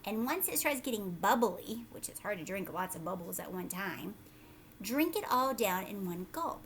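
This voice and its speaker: A woman teacher doing a children's read-aloud, expressive and conversational, often putting questions to her listeners. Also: mid-range voice, normal speed, a little hiss or hum.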